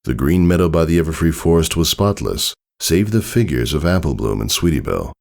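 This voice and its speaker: A man's voice: extremely low pitch, expressive, brisk, creaky, a little mellow. A man reading a story aloud as a scripted narration. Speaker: fan fiction reader.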